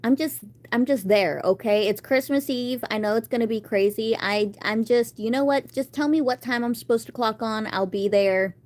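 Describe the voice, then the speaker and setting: A woman's voice: fairly high pitch, moderate pace, hardly any pauses, a little mellow. A woman in her thirties chatting casually on a live stream, rambling about her day and answering viewers. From United States. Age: 30s.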